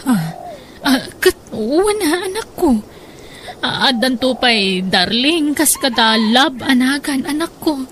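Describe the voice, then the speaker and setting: A woman with an Ilocano accent playing a gentle, long-suffering wife and mother in a radio drama, plaintive and soothing. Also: sits high, big pitch swings, takes it slowly, slight background noise, exaggerated voice. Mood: sad, soothing.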